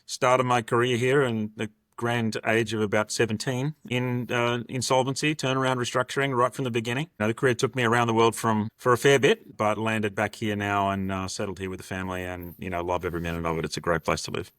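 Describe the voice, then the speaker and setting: A man from Sydney in his fifties with an Australian accent and a low voice. He talks as an interview guest, in an anecdotal, conversational style. The sound is dry and close. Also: flat delivery, fast talker, few pauses, fairly bright tone, no room sound. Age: 50s. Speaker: podcast guest, restructuring partner.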